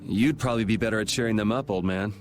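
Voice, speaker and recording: A man's voice: lowish voice, quick pace, natural voice. A man playing a cool, sardonic young swordsman in a video game, with a dry, laid-back way of talking. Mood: wry, subdued. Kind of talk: video game dub acting. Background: background music.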